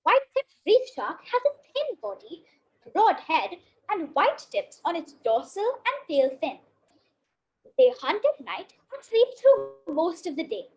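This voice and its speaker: A woman's voice: very high-pitched, sing-song, measured pace, lo-fi sound. A female reader reading a children's picture book aloud in a careful way, now and then tripping on a word. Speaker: story reader.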